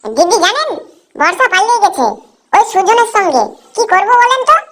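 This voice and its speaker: A woman's voice: very high voice, big pitch swings, moderate pace, fairly bright tone, heavily acted. A woman playing an angry, dramatic village mother in a cartoon drama, complaining about her daughter. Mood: indignant. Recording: music behind the voice.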